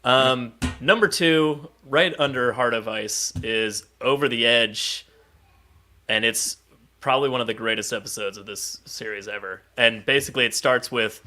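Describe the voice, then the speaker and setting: A man's voice: mid-range voice, normal speed, pushed and bright. A man chatting casually and enthusiastically as a podcast co-host, acting out bits as he retells stories. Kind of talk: casual enthusiastic pop-culture chat.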